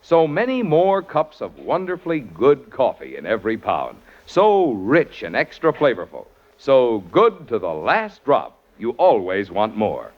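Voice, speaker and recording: A man announcing a commercial and narrating for a 1940s radio show, with a smooth, persuasive sales delivery. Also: mid-range voice, wildly swooping pitch, measured pace, few pauses, highs cut off. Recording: scratchy 1940s radio broadcast.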